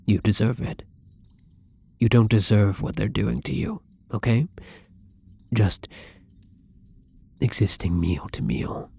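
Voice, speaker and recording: A man playing a newly turned, gentle and hesitant vampire in an intimate ASMR roleplay, speaking softly and haltingly with frequent whispers. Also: on the low side, exaggerated voice. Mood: caring, apologetic. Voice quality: breathy, husky. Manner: soft, halting, often dropping into whispers.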